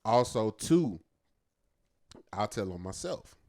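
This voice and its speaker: A man with a fairly low-register voice, co-hosting a podcast and telling a story in a loose, earnest way. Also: sing-song, takes it slowly, dark tone. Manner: loose and earnest, peppered with "man" and "you know what I'm saying". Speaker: podcast co-host. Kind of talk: casual storytelling chat.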